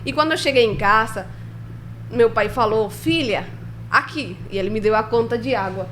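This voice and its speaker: A young woman with a Spanish accent and Spanish as her first language, telling her story as a podcast guest in an animated way and acting out dialogue. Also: fairly high pitch, normal speed, slight background noise. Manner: animated, laughs often, acts out dialogue. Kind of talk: casual storytelling interview.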